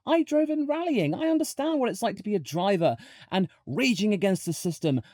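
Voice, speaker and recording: A man's voice: sits high, expressive, fairly quick, warm tone, no room sound, studio quality, voice pushed up. A man voicing a chummy, over-friendly male official who pleads to be liked in a matey, wheedling manner for a commentary video.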